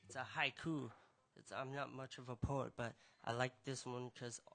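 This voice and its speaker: A man's voice: mid-range voice, level tone, vocal fry, music in the background. Shy young man at an open mic. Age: young adult. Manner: shy, halting, many fillers. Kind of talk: casual stage patter. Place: small coffeehouse stage, indoors. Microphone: stage mic, picked up by a room camera.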